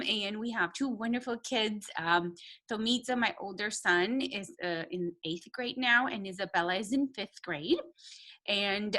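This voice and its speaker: A middle-aged woman with a Romanian accent speaks as a teacher addressing families. Her voice is very bright and sits at an average height. She talks informally and haltingly, searching for her words. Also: medium pitch. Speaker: second grade teacher. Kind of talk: informal welcome talk to families.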